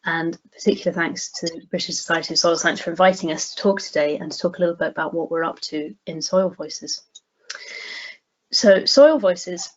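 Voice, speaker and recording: A woman from the West Highlands of Scotland giving a prepared online presentation over a video call. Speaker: presenter, playwright. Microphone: video call microphone. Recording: dull video call with background noise.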